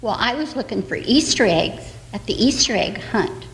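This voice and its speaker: A woman's voice: fairly high pitch, expressive, normal speed, gravelly, big-room sound, low-quality recording. A woman voicing a lost, teary baby chick in a children's story, with a small, plaintive voice.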